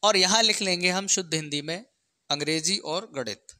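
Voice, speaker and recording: Bright-voiced maths teacher. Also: mid-range voice, normal speed, no echo. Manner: explanatory, checks in with short questions. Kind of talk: step-by-step exam maths lesson.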